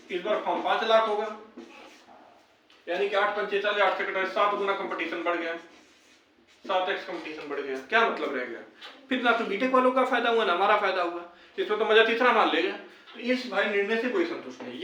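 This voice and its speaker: A man's voice: sits high, bright, forceful tone, a little hiss or hum. A young man gives an informal update, explaining and urging with frequent repetition. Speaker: video host addressing fellow job candidates. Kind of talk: informal update and appeal to viewers. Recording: very echoey room, distant microphone.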